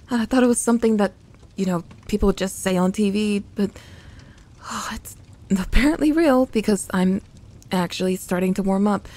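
A woman playing a young tsundere tomboy best friend in an intimate audio roleplay, grumbling and flustered but secretly affectionate, in a soft voice that often turns to whispering. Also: mid-range voice. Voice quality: soft, breathy.

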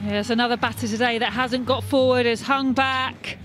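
A woman's voice: fairly high pitch, fairly even intonation, normal speed. A woman giving cricket commentary, analysing the play. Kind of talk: sports replay analysis.

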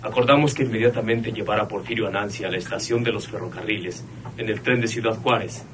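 An actor playing an earnest, respectful son informing the police in a radio drama. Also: male voice, lowish voice, fairly even intonation, fast talker, natural voice. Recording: distant mic, faint noise.

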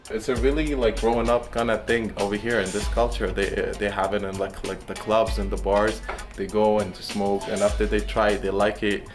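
A young man from Lebanon talks about his small shop in an easy, loose way. His voice sits very low. Music plays underneath the interview. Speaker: hookah shop owner. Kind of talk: documentary interview.

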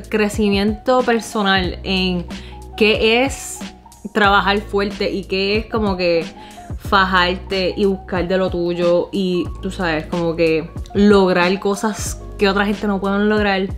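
A woman's voice: medium pitch, fairly even intonation, slow pace. Young Puerto Rican woman chatting over music. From Puerto Rico. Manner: informal, slangy, switches into English words. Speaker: vlogger. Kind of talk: casual chat, personal storytelling. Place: living room at home.

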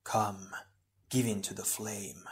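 A man voicing a sinister, taunting shadow double of a fire warrior in a slow, hissing, crackling whisper. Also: on the low side, steady tone, slightly rough. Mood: seductive. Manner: slow, mocking, seductive menace.

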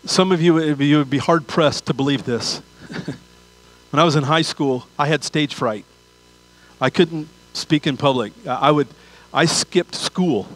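A man's voice: medium pitch, a bit bright, low-quality recording. Older man preaching conversationally. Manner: conversational preaching with repeated phrases and rhetorical questions. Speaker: pastor. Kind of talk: sermon. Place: large church auditorium stage.

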